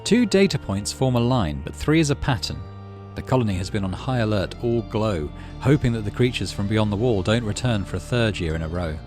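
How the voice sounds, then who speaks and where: A man with a lowish voice reads a sci-fi story game aloud, his intonation rising and falling animatedly through the tense scenes. Between passages he drops into quiet, muttered comments on his card moves, with soft game music playing underneath. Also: brisk, hardly any pauses. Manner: expressive reading mixed with muttered game comments. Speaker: let's play host.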